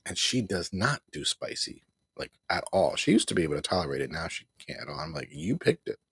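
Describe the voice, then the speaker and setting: Gravelly low-voiced man chatting on a livestream. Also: very animated, brisk, a bit bright, no echo. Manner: rambling, repeats himself, chuckles often. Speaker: livestream host. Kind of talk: casual live broadcast chat. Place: at home.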